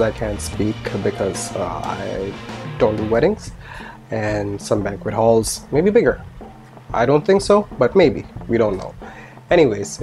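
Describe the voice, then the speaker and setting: A man's voice: on the low side, darkish tone. Man reviewing gear over background music. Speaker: gear reviewer, indie filmmaker. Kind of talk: casual product review. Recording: music under the voice. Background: instrumental music with guitar.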